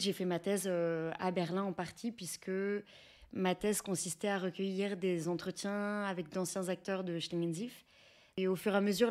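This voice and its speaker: A woman's voice: mid-range voice, a little mellow. A young woman with a French accent, answering interview questions in a reflective, conversational way with some hesitation. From France. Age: young adult. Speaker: guest, researcher, teacher and actress.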